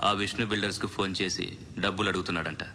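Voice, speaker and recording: Low-voiced, scornful henchman. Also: steady tone, racing, sharp tone, slight background noise. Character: menacing male gang henchman, scornful and accusing. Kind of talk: film dialogue. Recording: film music behind dialogue.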